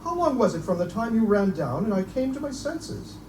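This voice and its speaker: Man as high-voiced, theatrical Victorian scholar. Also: sing-song, fairly quick, darkish tone. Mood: wary, courteous. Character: eccentric, erudite Victorian Oxford gentleman obsessed with Egyptian relics. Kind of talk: live stage reading of gothic pulp horror. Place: live performance in a bookstore. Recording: echoey, distant live recording, faint noise.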